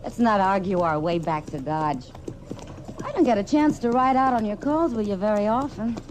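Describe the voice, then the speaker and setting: A woman's voice: medium pitch, normal speed, darkish tone, lightly acted. Woman acting easygoing frontier saloon gal, noisy recording. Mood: easy, teasing. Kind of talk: 1950s radio western acting. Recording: noisy old radio drama recording.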